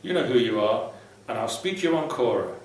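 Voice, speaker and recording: A man's voice: medium pitch, lively intonation, brisk, darkish tone. A man giving casual, unscripted advice to viewers in a blunt, irreverent, sweary way. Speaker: vlogger, ex-Jehovah's Witness advice host. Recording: very echoey room, distant mic, faint noise.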